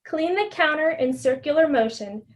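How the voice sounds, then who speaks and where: Patient, caring picture-book mother acted by a woman, high voice, teaching tone. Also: lively intonation, normal speed. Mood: patient instructing. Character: caring, patient mommy teaching her daughter hygiene, storybook.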